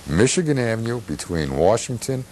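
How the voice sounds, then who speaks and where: A man hosting a casual interview show, talking in a halting way and repeating words. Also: mid-range voice, big pitch swings, darkish tone, slight background noise, rough recording. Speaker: TV show host. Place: television studio.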